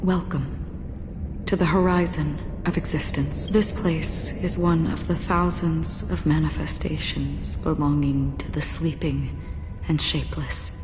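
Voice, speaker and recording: An actress voicing a solemn female watcher who guides lost souls, speaking in a calm, measured way and softly whispering at times. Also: low-pitched, music in the background. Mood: gentle. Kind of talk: game cutscene voice acting. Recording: rain noise behind the voice.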